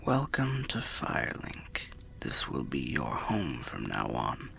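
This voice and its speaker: A man voicing a weary, kindly old undead warrior who rambles gently in a breathy, gravelly half-whisper, like a fantasy ASMR storyteller. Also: very deep voice, huge pitch swings, full character voice. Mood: welcoming, reflective. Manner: slow, rambling, archaic, gently cautionary storyteller. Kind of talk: ASMR fantasy roleplay monologue.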